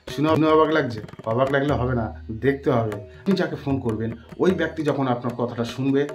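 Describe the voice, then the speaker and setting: A man's voice: mid-range voice, a little mellow. A man presenting a step-by-step phone app tutorial in an instructional, repetitive way. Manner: instructional, repeats points and phrases. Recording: music bed behind the voice.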